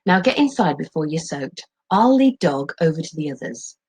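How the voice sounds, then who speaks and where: A woman's voice: sits low, sing-song, moderate pace, a little mellow, breathy edge, heavily acted. Woman doing a chill loving caveman dad in a kids book, cheery, reassuring, bouncy intonation. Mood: cheerful. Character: easygoing, affectionate Stone Age father.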